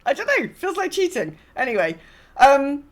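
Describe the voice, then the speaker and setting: A woman's voice: high voice, sing-song, normal speed. A woman podcast host chatting casually about gaming, telling stories in a rambling, self-correcting way.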